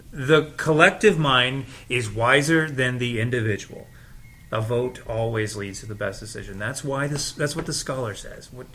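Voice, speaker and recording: An eager young man played by an actor in an audio drama, trusting and playful. His voice is bright and forward, and his pitch moves around in lively swings. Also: a little hiss or hum. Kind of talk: audio drama cast table read.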